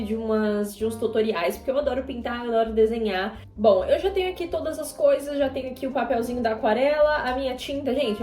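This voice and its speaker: A woman's voice: fairly high pitch, darkish tone, echoey room. A Brazilian woman in her twenties chatting casually to her viewers while she paints, rambling with lots of fillers and tag questions. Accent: Brazilian Portuguese. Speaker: YouTuber.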